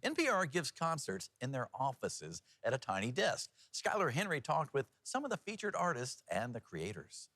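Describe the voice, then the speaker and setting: A man's voice: mid-range voice, wildly swooping pitch, moderate pace, few pauses, fairly bright tone, dry recording. A man anchoring and narrating upbeat local TV news features in a folksy, playful way, fond of puns.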